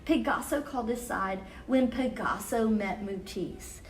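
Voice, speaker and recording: A woman hosting a children's story time, reading picture books aloud expressively with measured, deliberate phrasing for young listeners. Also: on the high side, slight background noise.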